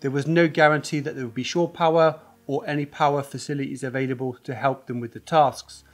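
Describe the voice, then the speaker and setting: A man in his forties presenting a scripted company explainer to camera in a dark studio, earnest and steady, gesturing with his hands as he talks. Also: medium pitch, fairly quick. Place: dark studio set with a generator on display. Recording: faint music bed under the voice.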